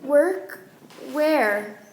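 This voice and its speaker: Radio-play sidekick: urgent young male aide, voiced by a woman, high pitch, plaintive, roomy sound with music. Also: animated, extremely slow, slight background noise, full character voice. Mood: despairing. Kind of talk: stage-style radio play acting. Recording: echoey room, music behind.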